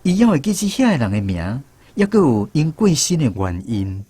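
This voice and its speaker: A man's voice: medium pitch, sing-song, normal speed, warm tone, husky, no echo. A man narrating a radio drama in the first person, reminiscing like a storyteller with a Taiwanese accent.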